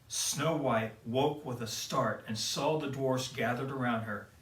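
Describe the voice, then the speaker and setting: A man reading a children's picture book aloud in a gentle storytelling way. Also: medium pitch. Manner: slow, gentle read-aloud. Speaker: storyteller for kids. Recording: roomy, mic at a distance.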